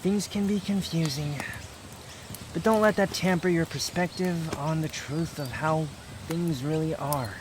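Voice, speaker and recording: A proud young man, played by a male actor, speaks in a fairly high voice, bitter and guarded with tenderness underneath. The delivery is an intimate, brooding ASMR roleplay, curt at the edges and confessional. Clear outdoor nature ambience sits behind the voice. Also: measured pace, noisy background, natural voice. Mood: gruff, tender.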